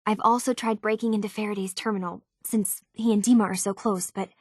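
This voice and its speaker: Game role: young female synth tinkerer, voiced by an actress, earnest and halting, raspy-soft texture, very dry studio sound. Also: mid-range voice, steady tone, dry recording, natural voice. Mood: conspiratorial, worried. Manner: earnest, hesitant, conversational. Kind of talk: video game character dialogue.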